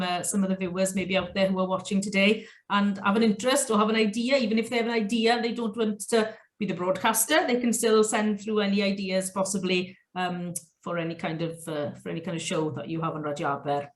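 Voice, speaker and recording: A woman hosting an online interview, asking long rambling questions and answering warmly and encouragingly. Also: mid-range voice, steady tone, fairly quick, hardly any pauses, roomy sound. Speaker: interviewer, host of the virtual fair.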